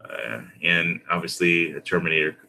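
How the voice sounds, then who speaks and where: Bright, very low-voiced man chatting casually. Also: vocal fry, slight background noise. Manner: hesitant, halting delivery with repeated phrases. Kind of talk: casual, rambling conversation.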